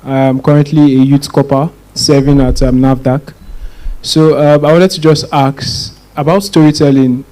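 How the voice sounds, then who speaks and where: A Nigerian man in his twenties puts a question to a speaker from the audience. His voice is mellow and of average pitch, and he is polite and a little hesitant. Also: steady tone, normal speed, rough recording. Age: 20s.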